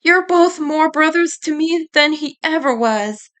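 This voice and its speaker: A woman's voice: high-pitched, a little slow, fairly bright tone, pitched above the performer's own voice. A woman voicing a remorseful, emotional boy schemer in a cartoon story. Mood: tearful confession.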